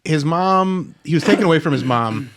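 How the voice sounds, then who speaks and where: A man hosting a podcast in casual, rambling conversation, telling things in a halting, informal way. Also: on the high side, animated, fairly bright tone. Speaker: podcast host. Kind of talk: casual chat, rambling storytelling.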